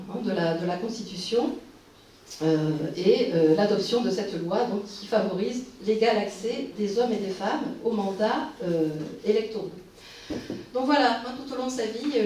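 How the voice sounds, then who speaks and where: A woman's voice: medium pitch, mellow, recorded from a distance, a little hiss or hum. A woman giving a public lecture, speaking hesitantly with many fillers and restarts. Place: conference hall. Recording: roomy hall recorded from afar.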